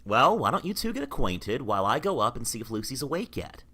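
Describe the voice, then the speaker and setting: Bright, animated, earnest doctor played by a man. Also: mid-range voice, fast, slight background noise. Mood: courteous. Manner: earnest, urgent, theatrical. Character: desperate, baffled male doctor, earnest and courteous. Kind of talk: vintage radio drama acting.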